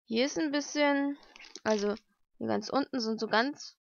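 Higher-voiced young woman, dull lo-fi sound. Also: measured pace, creaky, slight background noise. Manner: chatty, thinking aloud, informal. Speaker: vlogger unboxing mail-order books. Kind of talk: casual unboxing commentary. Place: lived-in room at home, indoors. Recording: dull lo-fi home recording.

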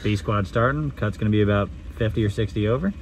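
Young male vlog host, deep-ish voice, relaxed joking car talk with steady road rumble. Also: fast talker, poor audio. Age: young adult. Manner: laid-back, joking banter. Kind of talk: casual chat. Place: inside a car. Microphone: phone camera at arm's length. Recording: car road noise behind.

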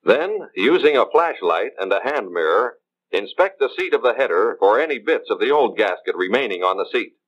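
An American man reading scripted instructional narration in a measured, formal way, as in a 1950s training film. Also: lowish voice, very animated, fairly bright tone. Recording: dull 1950s film soundtrack.

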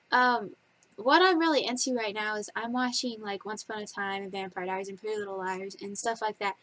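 A woman's voice: mid-range voice, fairly bright tone, poor audio. A woman in her twenties chatting casually in her bedroom for a vlog, giggly and rambling, with lots of fillers, picked up by a webcam. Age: 20s. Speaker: vlogger. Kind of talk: casual facts-about-me vlog. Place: bedroom, indoors. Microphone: webcam at desk distance.

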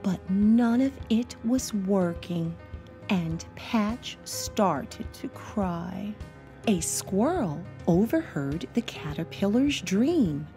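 A woman narrating a children's storybook as an expressive read-aloud. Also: medium pitch, extremely slow. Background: soft music.

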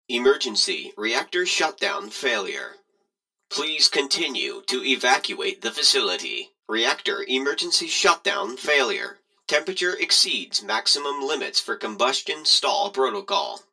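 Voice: mid-range voice, vocal fry, bright, forceful tone, full character voice, poor audio. An automated emergency announcement voice for a reactor facility in a game, reading scripted warnings in formal, clipped, robotic phrasing.

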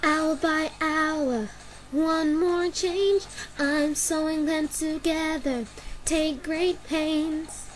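A woman's voice: sits high, fairly even intonation, extremely slow, rough recording. A woman singing an amateur fan cover of a musical cartoon song, with music behind her. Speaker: fan singer and dubber.

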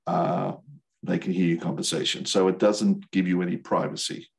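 An older man with an American accent giving an informal slide presentation in a hesitant, halting way. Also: deep voice, little pitch movement, creaky. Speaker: volunteer instructor, radio operator. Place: video call.

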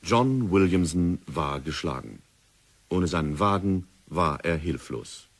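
A man narrating a 1960s radio drama in a measured, dramatic storytelling manner. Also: sits low, expressive.

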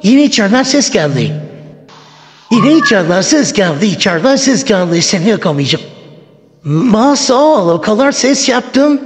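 A middle-aged woman playing a menacing old granny villain in a children's skit, with slow, taunting, repetitive threats. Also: mid-range voice, sing-song, close to an everyday voice. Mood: vengeful, hunting. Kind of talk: improvised children's family skit. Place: indoors in a home hallway and rooms. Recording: echoey hallway, music behind.

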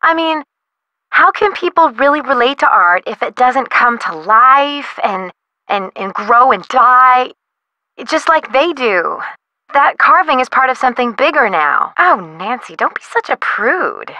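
A woman's voice: sits high, very animated, measured pace, bright, forceful tone, no echo, full character voice. An American woman voicing a young artist in a video game, flippant and theatrical, with breezy slang. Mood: flippant, dramatic. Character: flippant, dramatic young bohemian female artist. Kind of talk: video game character dialogue.

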